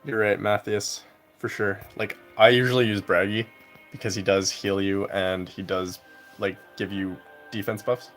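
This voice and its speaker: Young male streamer, lowish voice, easygoing and rambling, heavy on filler words. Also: level tone, unhurried, fairly bright tone, soft background music. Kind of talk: casual live-stream chat while gaming.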